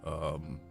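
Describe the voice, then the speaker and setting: A man's voice: extremely low pitch, gravelly. A man livestreaming a video game, chatting casually and distractedly to viewers between reactions to play. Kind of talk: casual chat while playing a video game.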